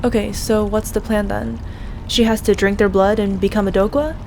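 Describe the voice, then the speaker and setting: A woman's voice: medium pitch, flat delivery, moderate pace, creaky, a little mellow, lightly acted. A woman acting in an audio roleplay as a skeptical young friend who asks blunt, casual questions. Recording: faint water and boat noise.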